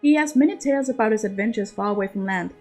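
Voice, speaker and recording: A woman's voice: medium pitch, fast, darkish tone, soft background music, natural voice. A woman voicing a charming, worldly noble lady with formal, assured speech in a storybook reading. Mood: encouraging.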